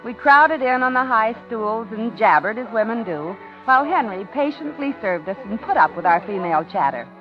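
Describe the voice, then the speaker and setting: A woman's voice: a little high, lively intonation, normal speed, hardly any pauses, natural voice. An actress playing a woman in danger telling her own story, dramatic and confiding, in 1950s radio drama style. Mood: wistful, pleasant. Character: frightened woman narrating her own impending murder. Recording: dull vintage radio, music behind.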